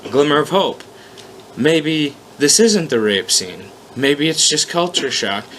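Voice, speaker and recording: A man's voice: fairly high pitch, animated, unhurried, a bit bright. A man reading aloud as a wry, flustered human man who narrates his own predicament with sarcastic exasperation. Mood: wary, awkward. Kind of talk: first-person story read aloud. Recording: noticeable background noise, amateur recording.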